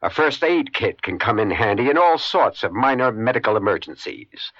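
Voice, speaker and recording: A male radio announcer delivers a scripted public service message. The voice is husky and medium in pitch with lively inflection. The old recording is somewhat muffled. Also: moderate pace, no room sound. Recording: dull vintage radio recording.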